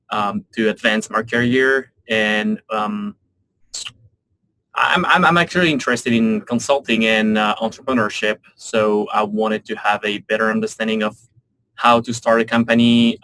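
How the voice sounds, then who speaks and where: A man from France, introducing himself on a student panel in halting speech full of fillers and repeated words. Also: lowish voice, sharp tone, poor audio. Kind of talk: hesitant self-introduction.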